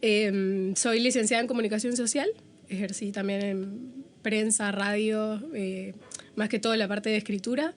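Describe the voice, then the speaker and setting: A woman's voice: medium pitch, steady tone, normal speed, slight background noise. A woman with a Venezuelan Spanish accent, talking casually as an interviewed guest on a podcast.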